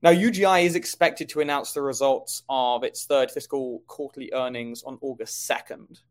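A man's voice: mid-range voice, fairly even intonation, moderate pace. A man co-hosting a stock market show, giving conversational commentary on companies and markets.

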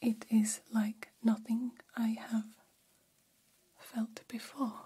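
Woman doing a gentle alien caretaker for asmr, super breathy whisper, formal wording, soft and dark, barely any pitch movement. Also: flat delivery, full character voice. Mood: quietly fascinated. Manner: slow, deliberate, formal phrasing with long pauses. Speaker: ASMR performer. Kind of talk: ASMR roleplay, personal attention.

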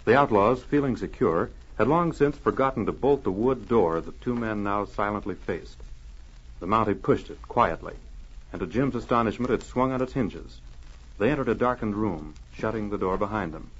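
A man's voice: lowish voice, normal speed, breathy edge. A man announcing and narrating an old radio adventure in a formal, emphatic, dramatic style. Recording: muffled old radio recording.

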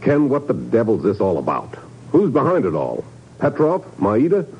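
An actor playing a blunt, impatient police chief in a 1950s radio drama. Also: male voice, mid-range voice, wildly swooping pitch, normal speed, darkish tone, slightly rough, full character voice. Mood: exasperated. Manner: blunt, exasperated questioning. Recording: noisy, muffled old radio recording.

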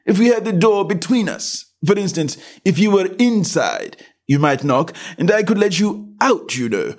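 A man voicing a dull, solemn frog footman who speaks in a slow, unbothered drawl. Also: sits high, animated, a little mellow, pitched above the performer's own voice.

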